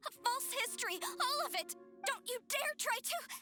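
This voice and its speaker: Devout young woman, very high and distressed; game cutscene with loud music. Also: expressive, moderate pace. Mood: defiant. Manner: distressed, defiant.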